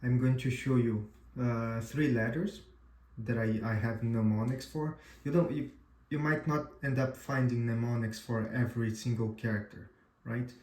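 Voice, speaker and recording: A man whose first language is Portuguese gives a casual tutorial demo, pausing often with "uh" and restarting his sentences. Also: medium pitch, fairly even intonation, darkish tone, reverberant. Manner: halting, frequent "uh" and restarts, checks in with "right?". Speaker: amateur radio instructor.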